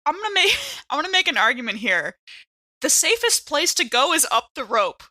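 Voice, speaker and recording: Female tabletop player, casual and bright, medium pitch, close dry sound. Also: big pitch swings, moderate pace, dry recording. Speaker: player on an actual play podcast. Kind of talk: casual tabletop gaming chat.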